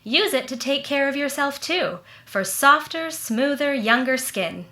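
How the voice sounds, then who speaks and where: A woman's voice: high voice, animated, measured pace, bright, forceful tone, echoey room, heavily acted. A woman playing a cheery, amused neighbor and soap seller in 1940s radio style. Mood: cheerful selling. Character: perky, amused neighbor friend and soap pitchwoman. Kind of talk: 1940s radio ad and comedy acting.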